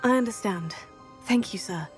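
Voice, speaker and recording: A woman's voice: mid-range voice, moderate pace, faint music behind, close to an everyday voice. An actress playing a resolute young female witch student in a video game, polite but firm.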